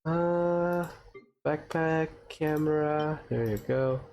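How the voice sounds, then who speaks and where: A man's voice: on the high side, slow pace, darkish tone, slight background noise. A man giving casual playthrough commentary, laid-back and amused as he reacts aloud to a video game. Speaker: gaming video creator. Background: game music.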